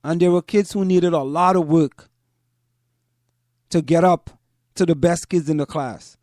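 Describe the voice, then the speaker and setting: A man from Trinidad and Tobago, speaking as a show host in deliberate commentary and repeating phrases for emphasis. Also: a little high, darkish tone, dry recording, studio quality. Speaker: host of a community parents' talk show. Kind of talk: spoken commentary to listeners.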